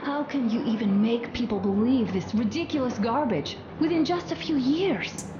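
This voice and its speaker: A woman's voice: on the high side, animated, normal speed, muffled recording, natural voice. An actress playing an earnest young woman who confides her doubts intimately and emphatically in a post-apocalyptic video game. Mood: scornful, indignant. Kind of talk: video game dramatic monologue. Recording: roomy, clear background ambience noise.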